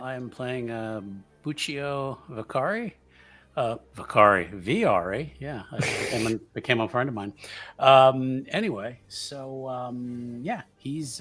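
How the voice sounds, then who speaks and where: A man chatting casually as a player on a tabletop roleplaying show, halting and understated. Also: medium pitch, a bit bright. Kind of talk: casual chat.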